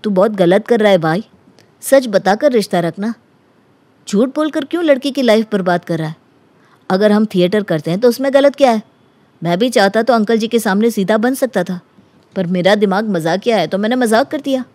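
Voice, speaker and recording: Cartoon story role: woman as sincere, reproachful young flatmate who feels cheated, mid-range voice, very dry sound. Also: medium pitch, moderate pace, no echo, natural voice. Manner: earnest, reproachful. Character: earnest, wronged young man, the schemer's flatmate. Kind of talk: Hindi animated story dialogue.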